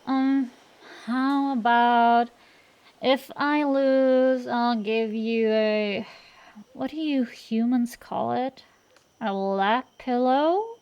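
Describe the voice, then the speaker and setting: A woman's voice: on the high side, drawn-out words, clear, resonant tone, lo-fi sound. A woman playing a cocky tsundere dragon-girl sorceress in an acted roleplay, bantering straight to the listener with sassy, slangy, defensive bluster.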